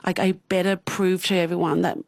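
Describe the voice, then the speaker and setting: A woman's voice: fairly low pitch, flat delivery, a little fast, gravelly, a little mellow, no room sound, clean studio sound. A woman in her thirties with an Australian accent, speaking candidly as an interview guest. She laughs often and restarts her sentences. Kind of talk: personal interview.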